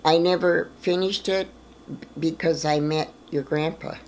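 A woman in her eighties telling family stories in a plain-spoken, unhurried way during a documentary interview at home. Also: low voice, fairly even intonation, a little mellow, a little hiss or hum. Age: 80s. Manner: plain-spoken, slow storytelling with small laughs. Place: living room, in an armchair.

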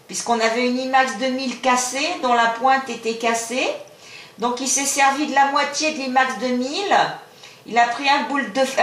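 High-voiced woman in an echoey room. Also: a bit bright. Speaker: amateur CB radio operator. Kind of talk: casual radio chat. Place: small radio shack indoors. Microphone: camera filming the radio desk. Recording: echoey room, camera microphone.

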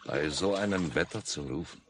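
An actor playing a polite, admiring English-speaking visitor in a 1950s German radio play, making brief remarks sprinkled with English. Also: male voice, low-pitched, normal speed, natural voice. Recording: noisy mono 1950s radio recording.

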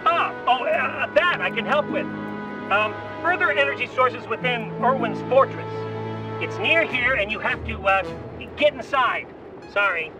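Very high-voiced, earnest brainy scientist. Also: male voice, expressive, a little slow. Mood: fussy, flustered. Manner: fussy, technical, urgent. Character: earnest, brainy male inventor and ghostly scientist ally. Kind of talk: video game cutscene acting. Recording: loud music behind, distant-sounding voice.

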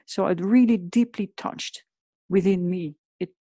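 A woman's voice: mid-range voice, a little slow, mellow, dry recording, clean studio sound. A woman from the Netherlands with a Dutch accent, talking earnestly and reflectively as a podcast guest. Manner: earnest, reflective, slightly non-native phrasing. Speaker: guest artist. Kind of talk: podcast interview.

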